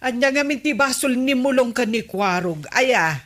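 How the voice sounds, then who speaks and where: An actress with an Ilocano accent playing a village captain's wife in a comedy radio drama. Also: female voice, high-pitched, lively intonation, moderate pace. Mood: questioning.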